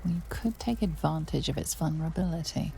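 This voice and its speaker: A woman playing the solemn narrator of a dark fantasy game, reading scripted lines in a hushed, gravelly voice. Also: low-pitched, lively intonation, brisk, a little hiss or hum. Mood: grave, ominous.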